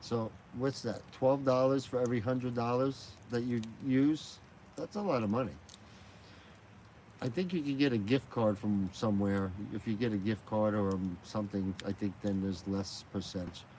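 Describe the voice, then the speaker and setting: A man's voice: lowish voice, moderate pace, a little mellow, slight background noise. A man chatting casually and rambling on a live stream, outdoors at night beside a fire, filming on a phone mounted on a gimbal. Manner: rambling, chatty, laughs easily.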